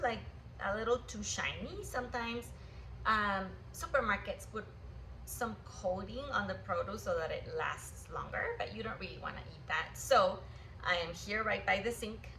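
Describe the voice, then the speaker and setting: A woman's voice: mid-range voice, sharp tone. A young woman from Guatemala leads a cooking demonstration in an upbeat, friendly way. She talks straight to the viewers at home. Her voice echoes in the kitchen over a faint background hum. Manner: cheerful, upbeat, speaks directly to viewers. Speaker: cooking class host. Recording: roomy kitchen, faint ambience.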